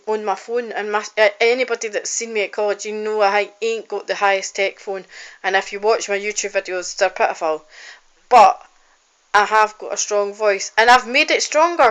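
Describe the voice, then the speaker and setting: Bright-voiced woman in a heartfelt phone vlog. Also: on the high side, smooth. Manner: heartfelt and rambling, with frequent ums and restarts. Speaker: singer-songwriter and college student. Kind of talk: personal video diary, casual talk to camera.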